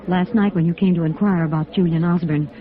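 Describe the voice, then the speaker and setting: Uneasy school principal played by an actress, thin old-radio sound. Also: female voice, on the low side, fairly even intonation, brisk, a faint crowd, lightly acted. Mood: guarded, confiding. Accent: American. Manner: hesitant, earnest, careful. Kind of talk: 1950s radio drama acting. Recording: thin, narrow vintage radio recording.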